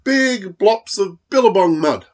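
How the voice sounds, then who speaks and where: Man putting on a high, emphatic platypus. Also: animated, a little slow. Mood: opinionated. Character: forthright male platypus, friendly, opinionated.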